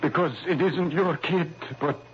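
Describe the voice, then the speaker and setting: Agitated, high-pitched tough guy, acted by a man. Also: steady tone, unhurried, close to an everyday voice. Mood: bitter, insistent. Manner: rough, streetwise, emphatic. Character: agitated, tough-talking father of a kidnapped girl. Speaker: actor in a radio drama. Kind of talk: early 1960s radio drama acting. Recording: dull, noisy old radio recording.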